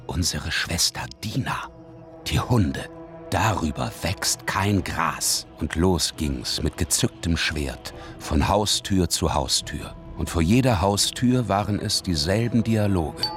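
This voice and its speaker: A man's voice: low voice, slow pace, fairly bright tone. A man playing a grim, solemn storyteller of biblical revenge in a radio play. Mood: grim, conspiratorial. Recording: music under the voice. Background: music.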